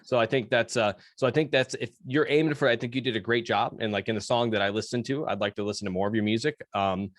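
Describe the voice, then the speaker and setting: A man's voice: medium pitch, fairly even intonation, quick pace, hardly any pauses, no echo, clean studio sound. A man hosting an interview, easygoing and affirming, using many fillers. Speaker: interview host. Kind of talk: casual interview chat.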